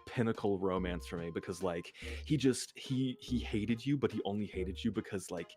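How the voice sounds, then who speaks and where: Lowish guy guesting on a stream, just casual chat, faint music behind him. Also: moderate pace, a little mellow. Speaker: stream guest and audio creator.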